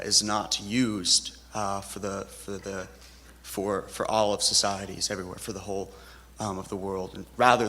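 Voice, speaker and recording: A man's voice: fairly low pitch, creaky, sharp tone. A man in the audience asking a long, hesitant question filled with fillers. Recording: reverberant hall with faint ambience.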